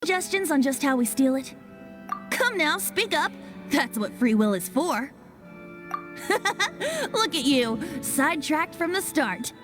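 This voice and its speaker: A woman's voice: sits high, animated, a little slow, long pauses. A woman voicing a young male bard in a video game, light and boyish, theatrical, teasing and cajoling. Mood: playful, sly. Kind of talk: video game character acting. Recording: game music behind the voice.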